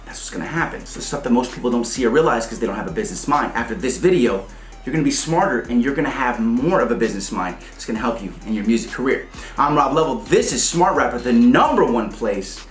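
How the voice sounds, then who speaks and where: Fast-talking energetic man in an echoey room. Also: medium pitch. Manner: energetic, repeats "okay?" often. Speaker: YouTube host for aspiring rappers. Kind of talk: fast enthusiastic explainer vlog.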